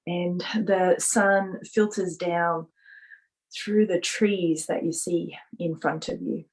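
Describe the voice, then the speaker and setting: A woman leading a guided visualisation meditation, speaking softly in a gentle, soothing manner with drawn-out pauses. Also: fairly low pitch, fairly even intonation, rough recording.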